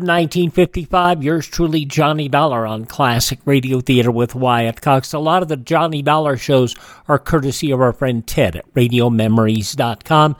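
A man's voice: medium pitch, dry recording. A man hosting a classic radio program, reading an outro close on the microphone.

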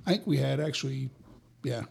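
A man's voice: medium pitch, fairly quick, vocal fry, crowd noise. A joking middle-aged man chatting casually as a podcast guest. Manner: joking, wisecracking. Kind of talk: casual chat.

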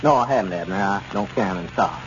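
An actor playing an easygoing, sensible small-town store owner in a 1930s radio comedy. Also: male voice, sits low, very fast, lightly acted. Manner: chuckling, level-headed. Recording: dull, noisy 1930s radio recording.

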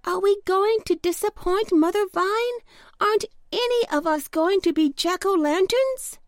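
A woman's voice: high voice, sing-song, slow pace, dry recording, clean studio sound, pitched above the performer's own voice, exaggerated voice. A woman voicing a small, worried, earnest young male pumpkin in a children's storybook, with a plaintive, childlike manner. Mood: dismayed.

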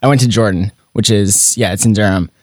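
A man's voice: lowish voice, a little fast, a little mellow, no echo, studio quality. A young man hosting a student podcast, reading a scripted intro and then interviewing with curious, encouraging follow-up questions.

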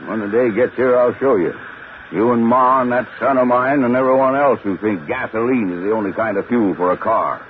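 A man's voice: medium pitch, a little fast, natural voice. An actor playing a stubborn, testy father devoted to his steam car, folksy and grumbling, in a 1950s radio drama. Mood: proud, defiant. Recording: noisy, narrow-band 1950s radio recording.

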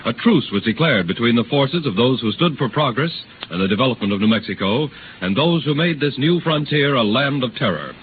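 A man narrating frontier history formally and gravely in a scratchy 1930s radio drama. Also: medium pitch, expressive, brisk, phone-quality sound, lightly acted.

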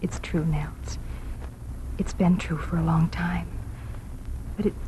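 A woman acting a warm-hearted young schoolteacher in a 1940s radio drama. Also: fairly low pitch, steady tone, takes it slowly. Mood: tender. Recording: noisy 1940s radio broadcast recording.

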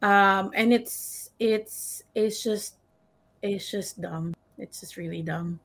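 A woman's voice: medium pitch, slow pace. A woman in a casual panel conversation, speaking tentatively and repeating her phrases as she goes.

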